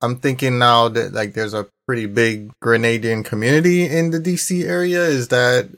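A man's voice: mid-range voice, normal speed, a bit bright. A man from Guyana hosting a podcast, who talks in an easygoing way and keeps dropping "you know what I'm saying.". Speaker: podcast host. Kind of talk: casual conversational interview.

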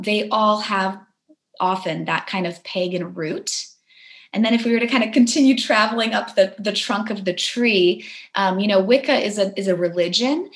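Soft-spoken woman guest, from Poland. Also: medium pitch. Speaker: guest, herbalist and green witch. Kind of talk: reflective interview talk.

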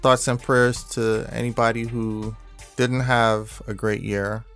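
A man hosting a podcast, upbeat and promotional, giving listener shout-outs. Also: mid-range voice, level tone, slow pace, vocal fry, soft background music. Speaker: podcast host. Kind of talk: casual podcast talk.

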